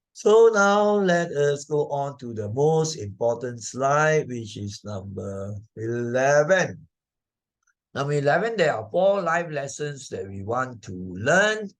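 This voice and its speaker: A man's voice: medium pitch, very animated, takes it slowly. An older man with a Malaysian accent teaches a moral lesson conversationally, repeating key points with emphasis and checking in with frequent tag questions. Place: online video presentation.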